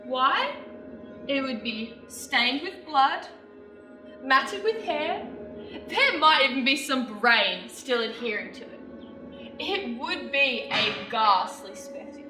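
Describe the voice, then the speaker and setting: Young woman acting a high-voiced, coldly accusing sister. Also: lively intonation. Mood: accusing, sardonic. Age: young adult. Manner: deliberate, emphatic, articulate stage delivery. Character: Hattie, cold sharp-witted accusing Victorian-era sister. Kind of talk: theatrical monologue performance. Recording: echoey room, distant mic, music.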